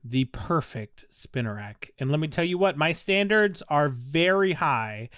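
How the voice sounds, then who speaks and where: A man with a fairly high, smooth and resonant voice, recorded close and dry. He plays an earnest, self-serious student, stammering as he restarts his sentences. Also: expressive, measured pace, a bit bright, no echo. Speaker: student in a mock class. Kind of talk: comedic improvised roleplay.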